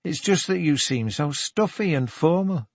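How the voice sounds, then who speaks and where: A man voicing a friendly, teasing Geordie woman in her twenties, with a lilting, slightly husky voice, in an audiobook. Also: on the high side, lively intonation, moderate pace, no echo, pitched above the performer's own voice. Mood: apologetic, chatty. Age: 20s. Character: young shop woman, teasing, friendly, chatty.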